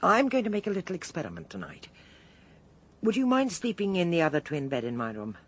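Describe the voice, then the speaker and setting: An actress playing a shrewd, commanding older lady detective with an English accent and a husky voice, in a period radio drama. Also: fairly low pitch, very animated. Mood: brisk, inquisitive. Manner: probing, brisk, authoritative.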